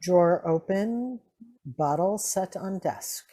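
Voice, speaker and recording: Slow, low-voiced woman reading cues. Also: animated, dark tone. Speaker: reader of sound-effect cues.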